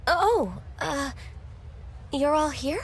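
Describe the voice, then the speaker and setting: Timid, very high young woman. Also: animated, extremely slow, slight background noise, full character voice. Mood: apologetic. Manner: hesitant, apologetic, polite. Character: timid, gentle, earnest young female assistant. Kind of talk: video game voice acting.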